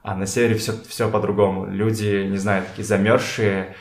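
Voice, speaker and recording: A young man talks casually in an echoey room. He searches for his words and drops in slangy asides, like a guest in a relaxed interview. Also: fairly low pitch, moderate pace. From Kazakhstan. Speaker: podcast guest, photographer and art director. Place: indoors in a home.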